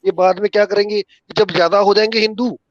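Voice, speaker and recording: A man's voice: sits high, fairly even intonation, brisk, dry recording, dull audio. A man from Punjab, India, with a Punjabi accent, calling in to chat casually about politics in a deferential way. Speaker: caller.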